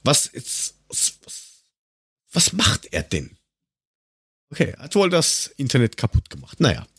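A man co-hosting a podcast in casual, joking chat, rambling and haltingly searching for words with a dry sarcastic streak. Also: medium pitch, huge pitch swings, fairly bright tone, slightly rough, no echo. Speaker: podcast co-host.

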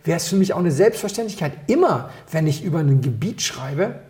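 A man from Berlin chatting casually on a podcast as co-host, rambling through anecdotes with quick asides and self-corrections. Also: on the high side, expressive, dark tone. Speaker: podcast co-host and wine taster.

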